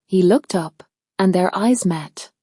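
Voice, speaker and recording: A woman's voice: fairly low pitch, slow pace, mellow, husky, dry recording, studio quality. A woman narrating a scripted storybook tale as a storyteller.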